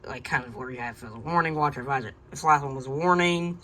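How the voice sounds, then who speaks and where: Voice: mid-range voice, quick pace. A hobbyist giving a casual, unscripted tour of a collection, rambling and hesitant, often trailing off, recorded at home. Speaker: radio collector presenting a collection. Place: indoors at home, by shelves. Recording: noisy amateur home recording.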